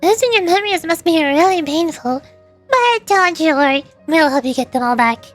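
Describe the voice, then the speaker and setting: A woman's voice: very high voice, lively intonation, normal speed, faint music behind. A woman voicing a small, kind fairy companion from a video game, eager and reassuring. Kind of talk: video game dialogue read aloud.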